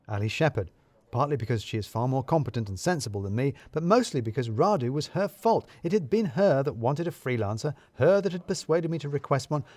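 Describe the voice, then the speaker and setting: A man's voice: mid-range voice, darkish tone, no room sound. A man narrating a scripted comic story in the first person, with a wry, wordy, theatrical manner.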